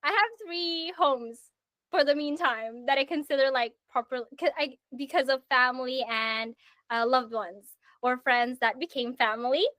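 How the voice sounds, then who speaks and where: A woman from Italy chatting casually as a panel guest, animated and laughing between phrases. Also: high-pitched, moderate pace, dry recording. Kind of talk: casual chat.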